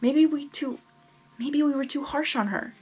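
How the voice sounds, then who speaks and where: Woman playing a gentle pony friend, raised pitch, careful, regretful, storybook dialogue. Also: fairly even intonation, unhurried, faint music behind, low-quality recording. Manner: hesitant, regretful. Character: concerned female pony, gently cautious friend.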